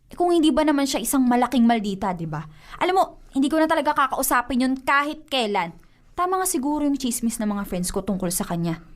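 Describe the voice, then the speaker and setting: A high-voiced, fed-up Filipino girlfriend. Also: animated, fast. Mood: annoyed. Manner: nagging, sarcastic, emphatic. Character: fed-up, hot-tempered, sarcastic young girlfriend. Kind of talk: Filipino radio drama acting.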